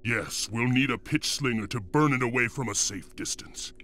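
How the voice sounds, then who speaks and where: A man playing a defiant, commanding leader of an enslaved fantasy clan in a video game, rough-voiced and resolute. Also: medium pitch, normal speed, sharp tone, slight background noise, natural voice. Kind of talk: dramatic video game cutscene acting.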